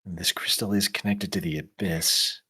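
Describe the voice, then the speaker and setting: A game master with a deep, rough-edged voice narrates a tabletop fantasy game. The delivery is improvised and casual, with the thinking done out loud. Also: fairly even intonation, normal speed.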